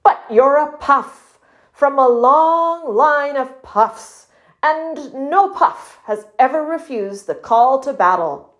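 A woman's voice: high-pitched, expressive, slow, fairly bright tone, pitched above the performer's own voice, exaggerated voice. A woman voicing a small male puffin officer in a storybook, pompous and heroically defiant, declaiming like a military commander. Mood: pompous, rallying.

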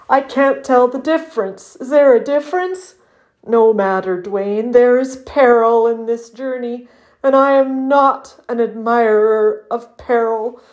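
A woman voicing a big, vain, anxious male muskox in a storybook, lamenting in a whiny, drawn-out croak. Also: a little high, a little mellow, highs cut off. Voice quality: croaking with misery.

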